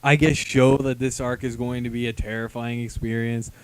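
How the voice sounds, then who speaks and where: Clear-voiced young man in a dry close recording. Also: mid-range voice, level tone, normal speed, no room sound, low-quality recording. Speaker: comic review panelist. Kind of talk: casual group review chat.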